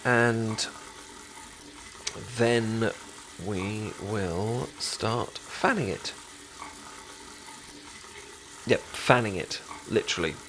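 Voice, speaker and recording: A British man playing a caring, chatty best friend in an intimate ASMR roleplay, talking casually with halting, stammering explanations. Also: lowish voice, low-quality recording. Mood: calm, instructive. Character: caring, chatty male best friend teaching sushi making.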